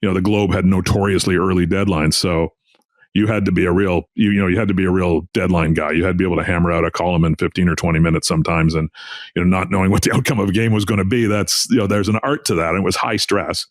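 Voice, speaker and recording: A middle-aged male interview guest talks in a very low voice, recorded close and dry. He reminisces casually and rambles, often falling back on filler phrases. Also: steady tone, fast, no room sound. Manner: rambling, repeats "you know". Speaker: interview guest, veteran sports journalist.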